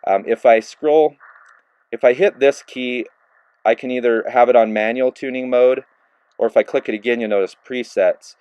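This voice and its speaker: A man giving a relaxed, casual hands-on review of a handheld radio, narrating each step with plenty of fillers as he works the controls. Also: mid-range voice, normal speed, clean tone, dry recording, lo-fi sound. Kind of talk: casual hands-on product demo.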